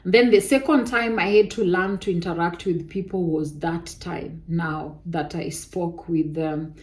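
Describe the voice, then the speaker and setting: A young woman from Kenya with a soft voice, telling viewers a personal story in a casual, animated way. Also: lowish voice, a little slow. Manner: animated testimony with Kenyan interjections like "eh" and "kai".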